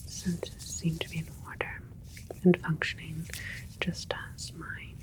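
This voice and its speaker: A woman playing a gentle alien scientist in an ASMR medical roleplay, whispering in a breathy, soothing, politely clinical way. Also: low voice, completely flat delivery, slow. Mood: attentive, clinical.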